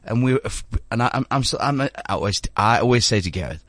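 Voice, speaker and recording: A man's voice: mid-range voice, brisk, no echo. Guy in his 30s hosting a chat show, voice jumps all over, keeps restarting, dry room. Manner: rambling, stumbling restarts, animated. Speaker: show host. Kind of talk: casual studio chat.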